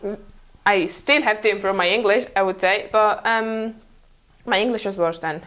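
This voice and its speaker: A young woman from Mongolia with a Mongolian accent, answering casually in halting, self-correcting non-native English. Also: mid-range voice, a bit bright. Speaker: interview guest, international school teacher.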